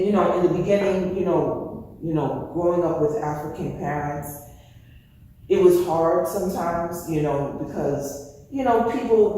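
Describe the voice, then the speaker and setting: A woman from the Bronx, a fashion designer telling her story in a casual interview, animated and full of "you know" fillers. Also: sits low. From New York, Bronx. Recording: echoey hall from far off, faint noise.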